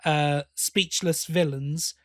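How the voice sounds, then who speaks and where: A man with a slightly high voice talks as a podcast co-host in a close, dry recording. His delivery is casual review chat, with halting phrases that he restarts and corrects. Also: fairly even intonation, a bit bright, no echo.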